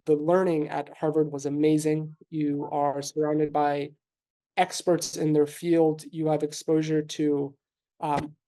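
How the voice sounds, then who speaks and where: A man in his thirties from New York City, with Russian as his first language, talks through his answers in a thoughtful, measured way, often pausing on fillers and restarting his sentences. His voice has a gravelly, mellow texture. His pitch stays flat and even. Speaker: physician guest. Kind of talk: conversational interview answers.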